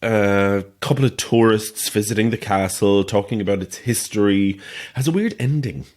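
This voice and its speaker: A man's voice: on the low side, normal speed, a little mellow, natural voice. An Irish man playing a chatty, boastful small-time actor who is very pleased with himself, in a naturalistic audio drama. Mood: chatty, confiding. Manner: boastful, chatty, theatrical asides.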